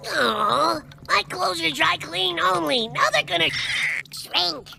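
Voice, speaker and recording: A woman voicing a fussy, whining children's cartoon character with a squeaky, creaky voice. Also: lively intonation, takes it slowly, heavily acted. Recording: loud music behind the voice.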